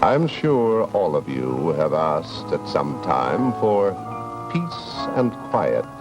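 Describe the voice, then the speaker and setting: Sinister radio horror host: low gravelly voice, ominous deliberate delivery, loud music behind, old recording. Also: male voice, exaggerated voice, rough recording. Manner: slow, deliberate, ominous, dramatic pauses. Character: sinister male horror host, slyly menacing. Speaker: horror series host and announcer. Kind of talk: 1940s radio horror introduction.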